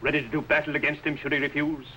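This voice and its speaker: An actor playing a resolute, solemn medieval archbishop, with formal, declamatory speech in 1950s television drama style. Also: male voice, a little high, flat delivery, very fast, bright, forceful tone. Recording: faintly noisy old TV soundtrack.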